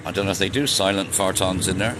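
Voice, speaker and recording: A man's voice: low voice, steady tone, fast talker. Jokey man in his sixties, street noise. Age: 60s. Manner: chatty, jokey, answers viewer questions. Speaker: vlogger. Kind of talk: casual live-stream chat while riding. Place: outdoors on city streets. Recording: noisy outdoor street recording. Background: traffic and street noise.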